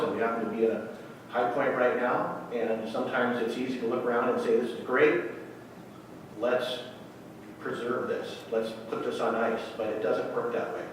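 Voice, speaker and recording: A man giving earnest public comment as a local business owner, halting with frequent ums and uhs. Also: medium pitch, fairly even intonation, slight background noise. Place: council chamber. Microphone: podium mic heard from a distance. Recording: echoey hall, distant mic.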